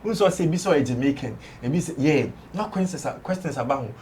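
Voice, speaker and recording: A man's voice: a little high, lively intonation, fast, darkish tone. Emphatic Ghanaian man, mixing in Twi. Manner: mixes English with Twi, emphatic. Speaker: entertainment show pundit. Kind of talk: casual studio discussion.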